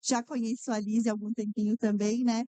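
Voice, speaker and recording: A woman joins as co-moderator and chats cheerfully, hesitating often. Her voice is mellow and smooth, a little high. The recording is dry. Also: flat delivery, fairly quick, no room sound. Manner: cheerful, hesitant, lots of fillers. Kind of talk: casual chat.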